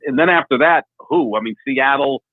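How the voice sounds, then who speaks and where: A man's voice: medium pitch, moderate pace, husky, no echo. A man in his fifties calling in to a sports radio show, talking football with a dry, teasing wit. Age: 50s. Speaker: guest caller. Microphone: phone. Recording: tinny phone line.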